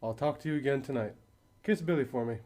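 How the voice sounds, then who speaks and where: Guy acting a patient dad, soft dark mellow tone, calm, radio play. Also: mid-range voice, quick pace, natural voice. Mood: reassuring. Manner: calm, reassuring. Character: gentle, patient father of a young girl. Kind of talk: amateur radio drama acting.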